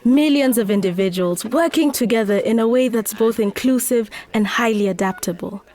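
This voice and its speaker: A woman's voice: on the high side, moderate pace, darkish tone, roomy sound, distant mic, lightly acted. A woman playing an earnest, idealistic young Kenyan activist in a dramatic motion comic, explaining and persuading. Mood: upbeat.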